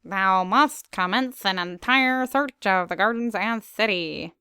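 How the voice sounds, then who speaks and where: A woman's voice: mid-range voice, takes it slowly, pushed and bright, clear, resonant tone, no room sound, studio quality. A woman voicing a regal pony princess who speaks in a stately, commanding, archaic manner. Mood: imperious.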